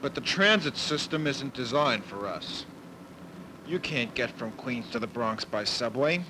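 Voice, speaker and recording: American man, mid-pitched narrator for a political newsreel, clear background noise on old film sound. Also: lively intonation, a little slow. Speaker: documentary narrator.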